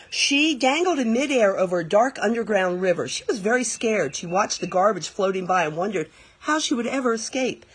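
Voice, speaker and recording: A woman reading a children's picture book aloud expressively, pausing to explain things to young listeners. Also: on the high side, husky. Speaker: read-aloud storyteller.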